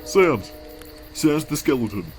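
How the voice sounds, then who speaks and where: A man's voice: fairly high pitch, very animated, a little slow. A man voicing a laid-back, weary skeleton monster with a dry, slangy, protective manner in a comic dub, over music. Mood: dry, menacing. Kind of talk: amateur comic dub acting.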